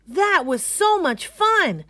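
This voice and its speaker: An excited, curious young child in a storybook as acted by a woman reader. The pitch sits high and swings widely. The delivery is bubbly and eager, full of exclamation. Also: slow, dry recording, exaggerated voice. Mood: delighted. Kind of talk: children's picture book read-aloud.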